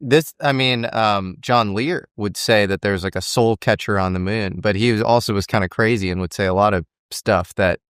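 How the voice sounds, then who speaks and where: A man's voice: on the low side, moderate pace, few pauses, a bit bright, smooth, dry recording, clean studio sound. A man hosting an interview, asking skeptical questions and mostly adding short backchannel sounds. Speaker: interview host.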